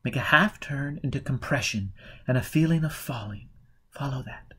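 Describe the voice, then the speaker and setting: A man reading the part of a calm, forgiving woman in an audiobook, gently and patiently giving guidance. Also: mid-range voice, moderate pace, slightly rough. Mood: gentle, guiding.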